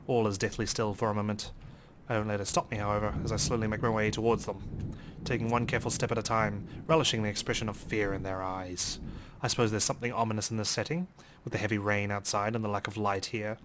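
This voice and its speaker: A man's voice: fairly low pitch, little pitch movement, fast talker, noisy background. A man reading a visual novel's narration aloud as a let's play host.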